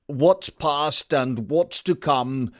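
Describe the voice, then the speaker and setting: A man playing an effusive, excitable Greek warrior, declaiming in a Shakespearean audio drama. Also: on the high side, extremely slow. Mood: solemn welcome.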